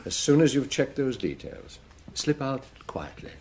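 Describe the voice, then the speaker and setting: An actor playing a senior police chief in a British radio drama, unhurried and resolute, with a measured, authoritative, dryly humorous way of talking. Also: male voice, mid-range voice, big pitch swings, darkish tone, husky, full character voice.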